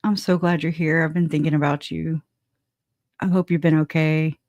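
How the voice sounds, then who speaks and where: A woman's voice: deep voice, flat delivery, moderate pace, a little mellow, no echo, lo-fi sound. A woman hosting a livestream, chatting casually and rambling, with frequent restarts and laughter. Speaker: livestream host.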